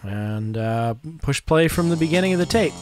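A man in his thirties narrating a casual how-to in an unhurried, hesitant, step-by-step way, close to the microphone. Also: a little high, expressive, soft background music. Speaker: audio restorer presenting a how-to. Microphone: close microphone in front of him.

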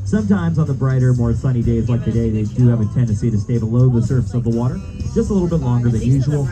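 A man's voice: medium pitch, lively intonation, fast, poor audio. A man giving practised, folksy tour commentary to passengers on a boat outdoors. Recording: loud music over tour boat audio.

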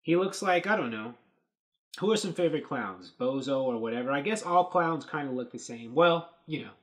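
A man in his thirties talks through movie photos in an easygoing, rambling way, pausing, restarting and filling gaps with "you know" and "like." His voice sits at an ordinary middle pitch. He speaks close to a desk webcam in a small dark room. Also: lively intonation. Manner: rambling, thinking aloud with many fillers. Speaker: host. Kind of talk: casual commentary on set photos.

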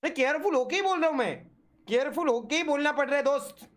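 A man's voice: very high voice, fast, fairly bright tone. A young man giving casual live reaction commentary as a gaming streamer, with teasing banter. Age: young adult.

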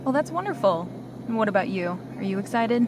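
A woman's voice: medium pitch, expressive, a little fast, natural voice. Attentive flight attendant played by a woman, cabin noise. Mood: friendly, attentive. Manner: polite, reassuring customer-service patter. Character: friendly, attentive female flight attendant, soothing and solicitous. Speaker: voice actor in an audio roleplay. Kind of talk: scripted audio drama. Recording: clear cabin noise behind the voice. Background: airplane cabin ambience.

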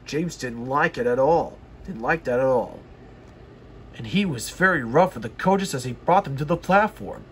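A man reading a children's story aloud, often haltingly, repeating and correcting phrases. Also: medium pitch, animated, a bit bright, a little hiss or hum. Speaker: storybook reader.

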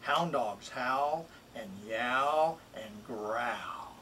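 A middle-aged man, a children's author, introducing and expressively reading a picture book in a deliberate, emphatic way. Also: medium pitch, pushed and bright. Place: small wood-panelled home office, indoors. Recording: distant mic, room noise.

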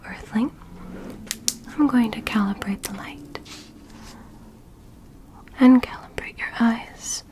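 Woman playing a slow whispering alien examiner. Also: a little high. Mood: calm, instructing. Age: young adult. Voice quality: soft, breathy whisper. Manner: slow, gentle, clinical, politely instructing. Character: calm, curious female alien medical examiner studying a human. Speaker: ASMR performer. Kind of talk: ASMR roleplay. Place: small bedroom set, indoors. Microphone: close microphone.

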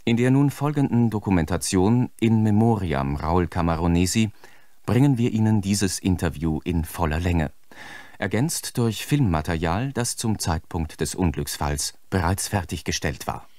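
An actor playing a sober, formal broadcast presenter reading a scripted documentary introduction. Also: male voice, low voice, expressive, moderate pace, mellow, dry recording.

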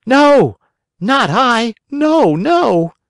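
Man voicing a high, pleading little puppet boy. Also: sing-song, drawn-out words, gravelly, fairly bright tone, no echo, studio quality, heavily acted. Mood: tearful, desperate. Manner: whining, pleading, emphatic. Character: frightened, pleading young boy marionette.

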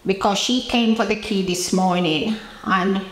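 A woman's voice: medium pitch, fairly even intonation, a little slow. Elderly Jamaican woman in a roomy, echoing space. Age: 80s. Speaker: interviewee recalling her past. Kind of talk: personal reminiscence to camera. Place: indoor room with bare walls, seated interview. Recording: roomy, echoing indoor interview.

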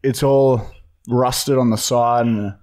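A man's voice: medium pitch, fairly even intonation, slow, darkish tone. An Australian man hosting a podcast, talking casually and matter-of-factly in a rambling way. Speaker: podcast host. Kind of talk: casual chat and prank calling. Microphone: podcast boom mic and headset.

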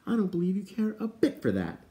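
A man's voice: high voice, huge pitch swings, a little fast, mellow, voice pushed up. A man voicing an outspoken, indignant young girl in an audiobook, scolding bluntly and with exasperation. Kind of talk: audiobook character voice.

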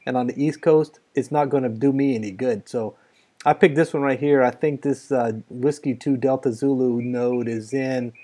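A man's voice: mid-range voice, fairly even intonation, moderate pace, dark tone. A middle-aged American man giving a casual, laid-back, slightly rambling walkthrough as a radio hobbyist. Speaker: amateur radio hobbyist demonstrating software.

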